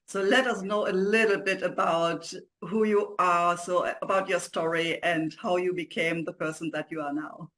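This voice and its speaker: Halting woman hosting an interview show. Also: lowish voice, fairly even intonation, fairly bright tone. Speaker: show host. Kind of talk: interview introduction and questions.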